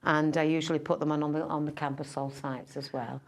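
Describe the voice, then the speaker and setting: Low, flat-voiced chatty woman singer. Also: brisk. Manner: chatty, rambling, many fillers and restarts. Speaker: entertainer and singer. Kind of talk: casual interview chat.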